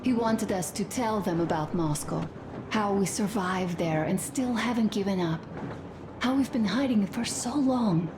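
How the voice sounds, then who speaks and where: An actress playing a tender young soldier's wife in a video game, confiding gently and sorrowfully. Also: female voice, mid-range voice, moderate pace, close to an everyday voice. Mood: grieving. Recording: echoey room, noisy ambience.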